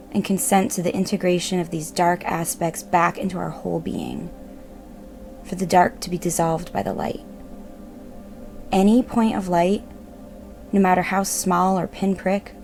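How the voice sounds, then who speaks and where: A woman narrating a guided meditation in a gentle, measured, reassuring manner. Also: fairly low pitch, creaky. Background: meditative music.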